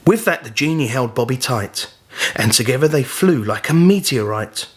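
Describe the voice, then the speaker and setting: A man's voice: mid-range voice, animated, moderate pace. A man reading a rhyming children's story as narrator, in a scripted storytelling style.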